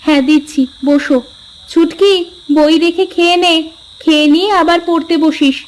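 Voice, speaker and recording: A woman's voice: very high voice, fairly even intonation, normal speed, a little hiss or hum, poor audio. A woman playing a patient, faithful village mother in a cartoon fairy tale, with a homely, reassuring manner.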